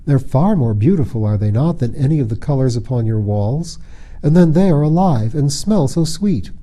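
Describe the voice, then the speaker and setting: A man voicing an innocent, curious young girl in a storybook, gentle and comforting, with a wondering, questioning manner. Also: medium pitch, lively intonation, normal speed, close to an everyday voice.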